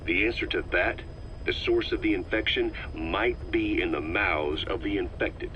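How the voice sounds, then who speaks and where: An actor playing a shrewd, level-headed veteran intelligence officer in a video game, talking in a measured, analytical way. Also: male voice, low voice, natural voice. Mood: firm, reasoning. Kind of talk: dramatic video game voice acting. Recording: muffled, slightly noisy cassette tape.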